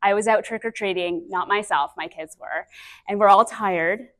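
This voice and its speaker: A woman in her thirties presents at a conference on a microphone in a breakout room. She talks in a lively, chatty way, self-deprecating and full of ums. Her pitch sits at an ordinary middle height. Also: normal speed, poor audio. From Canada. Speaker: speech-language pathologist presenter. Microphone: handheld or stand mic with feedback.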